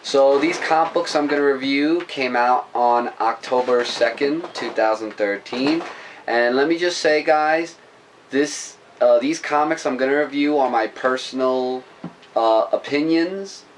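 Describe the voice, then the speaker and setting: Relaxed guy, mid voice, kinda hesitant, bedroom cam with a faint hum behind. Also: mid-range voice, measured pace. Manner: easygoing, hesitant, lots of fillers and agreement. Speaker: comic review co-host. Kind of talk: casual comic book review chat. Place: bedroom at home. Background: faint background noise.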